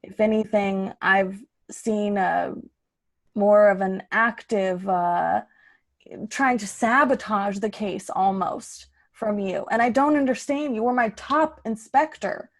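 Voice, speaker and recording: A woman's voice: medium pitch, slow. Exasperated police chief woman performer: mid-range voice, firm, dry, natural delivery for improvised radio comedy. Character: stern, exasperated police boss.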